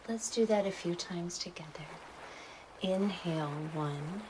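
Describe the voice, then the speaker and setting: A woman narrating a guided meditation in a soothing, instructional way, leaving pauses between short phrases. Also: on the low side, background noise.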